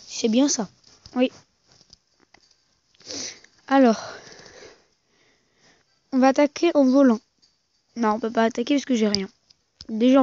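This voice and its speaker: High-pitched voice, gaming host, casual halting commentary, repeated phrases, dull noisy amateur recording. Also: mellow. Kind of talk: casual mobile game commentary.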